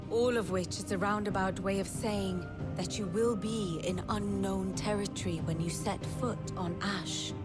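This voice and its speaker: A woman's voice: mid-range voice, fairly even intonation, measured pace, hardly any pauses, loud music behind the voice, lightly acted. An older woman playing an exacting, wry scholar in a fantasy game, speaking in an eloquent, dry lecturing manner. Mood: measured lecturing.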